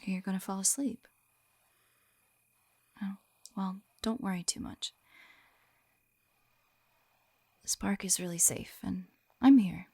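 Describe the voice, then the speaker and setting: Soft whispery, creaky young lamia woman, acted by a woman. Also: measured pace, long pauses, no room sound. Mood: soothing, affectionate. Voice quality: soft, breathy whispering mixed with low murmurs. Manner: hesitant, tender, reassuring. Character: gentle, shy, affectionate lamia woman on first date. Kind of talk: intimate ASMR roleplay, soft-spoken and often whispering. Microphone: close-up microphone, dry sound.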